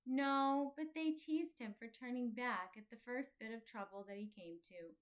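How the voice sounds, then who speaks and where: A woman voicing a quiet, thoughtful young pilgrim boy in a children's storybook, speaking earnestly and plainly. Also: fairly high pitch, a little slow, natural voice. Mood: earnest, chatty.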